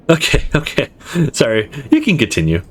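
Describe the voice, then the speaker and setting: A man's voice: fairly high pitch, fairly bright tone, voice pushed up, full character voice. A man voicing a teasing, foul-mouthed buddy in a visual novel, mocking and crude in manner. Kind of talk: visual novel dialogue read aloud. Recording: music playing behind the voice.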